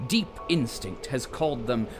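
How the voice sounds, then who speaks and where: A grand, solemn male narrator, played by an actor, with a mid-pitched voice that rises and falls widely. He tells the audio drama story grandly over music. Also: expressive, moderate pace, close to an everyday voice.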